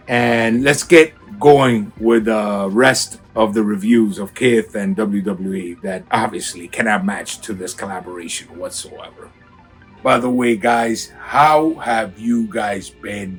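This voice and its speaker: A raspy-voiced man with a cold, from Miami, hyping up a casual clothing unboxing for his viewers. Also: mid-range voice. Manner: hyped, slangy, talks straight to viewers. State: has a cold. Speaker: sneaker and clothing vlogger. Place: home room.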